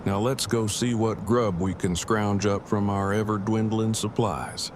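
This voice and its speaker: An actor playing Eugene, a verbose, bookish and affectionate apocalypse survivor, speaking formally and precisely in an audio drama. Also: male voice, fairly low pitch, little pitch movement, unhurried, close to an everyday voice. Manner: formal, wordy, precise diction. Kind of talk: fan audio drama acting. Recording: clearly noisy, with ambience behind.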